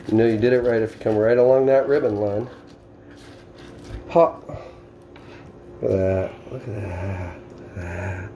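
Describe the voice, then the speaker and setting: Middle-aged man, Boston accent, mellow, casual how-to instructor, home kitchen with faint room noise. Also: lowish voice, fairly even intonation, a little slow, pauses often. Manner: plainspoken, instructive, talking while working with his hands. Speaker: home cook demonstrating fish filleting. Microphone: camera on the counter.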